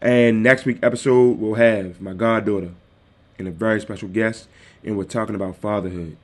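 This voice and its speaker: A man hosting his own podcast, talking casually and directly to his listeners in a calm, soothing voice into a desktop microphone. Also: on the low side, moderate pace. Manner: easygoing, addresses listeners directly. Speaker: podcast host. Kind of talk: casual solo podcast talk. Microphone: desktop USB microphone.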